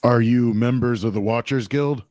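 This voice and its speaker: A man's voice: mid-range voice, fairly even intonation, normal speed, a little mellow, dry recording, lightly acted. Man playing a plain-spoken fantasy barkeep, dry sound. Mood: guarded. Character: big, guarded male tavern barkeep in a lumber town. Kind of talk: audio drama table read.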